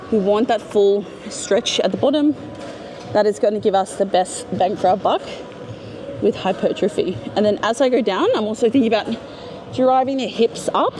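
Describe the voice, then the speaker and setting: A woman's voice: mid-range voice, noisy background. A woman fitness coach explaining and cueing a workout as she hosts a gym vlog. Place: indoor gym.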